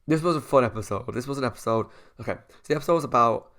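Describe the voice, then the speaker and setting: Gravelly man reviewing casually. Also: mid-range voice, racing, fairly bright tone. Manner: chatty, thinks aloud while weighing opinions. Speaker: YouTube reviewer.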